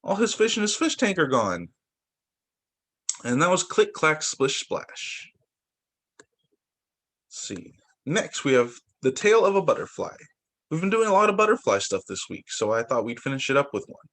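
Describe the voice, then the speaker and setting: A young man who teaches young children, reading picture books aloud in a sing-song, expressive way over a video call. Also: on the high side, moderate pace, long pauses. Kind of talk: read-aloud story time for young children.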